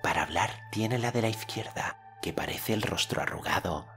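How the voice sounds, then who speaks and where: A man's voice: on the low side, normal speed, a bit bright, faint music behind, voice pushed up, close to an everyday voice. An adult man voicing a young boy hero in an audiobook, speaking in a solemn, formal old-fashioned way. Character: earnest boy hero, commanding storyteller.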